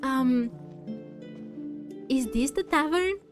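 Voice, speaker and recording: A woman's voice: sits high, lively intonation, drawn-out words, faint music behind. A woman hosting a gaming video, commenting casually and thinking aloud as she plays. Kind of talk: casual let's play commentary, reading game text aloud.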